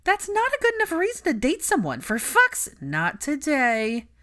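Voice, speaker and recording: A woman's voice: sits high, huge pitch swings, moderate pace, pushed and bright, pitched above the performer's own voice, exaggerated voice. A woman voicing a brash, outspoken young married woman in a storybook reading, blunt and teasing, with emphatic delivery. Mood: exasperated, blunt.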